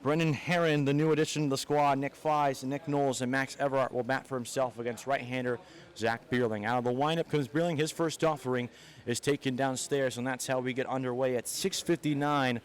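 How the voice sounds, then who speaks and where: An American man works as a play-by-play announcer on live baseball and softball broadcasts. His voice sits at an average male pitch, and he reports the action pitch by pitch. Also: fairly even intonation, a little fast.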